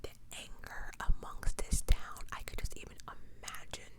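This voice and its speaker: A woman whispering true-crime ASMR, reading and adding opinionated, slangy, conversational asides as she goes. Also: moderate pace. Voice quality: pure unvoiced whisper. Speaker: ASMR host.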